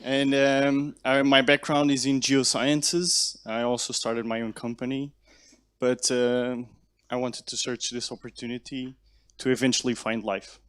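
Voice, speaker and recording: A man's voice: medium pitch, little pitch movement, a little slow, clean tone, no echo. A young man from Portugal introduces himself. His voice is mid-range and sounds dry and close, and he speaks hesitantly with many fillers. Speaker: incoming student. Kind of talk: self-introduction at a ceremony.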